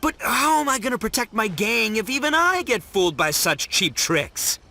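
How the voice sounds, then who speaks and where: A man's voice: high voice, sing-song, moderate pace, sharp tone, slight background noise, heavily acted. A man voicing a brash, dim but big-hearted young gang boss in a video game, bombastic and slangy. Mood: dejected. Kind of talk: animated video game voice acting.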